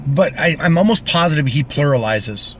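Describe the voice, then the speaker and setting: A man's voice: on the high side, expressive, normal speed, a little hiss or hum. A man from Canada co-hosting a podcast, recapping television in loose, casual chat. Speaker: podcast co-host.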